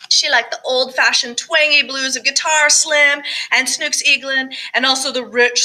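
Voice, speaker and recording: Casting: female audiobook reader, expressive first-person narration, higher register, very bright tone. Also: poor audio. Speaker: audiobook reader, choir director.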